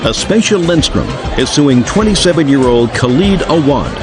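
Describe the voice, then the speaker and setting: A man announcing the next courtroom case in measured scripted narration. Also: mid-range voice, expressive.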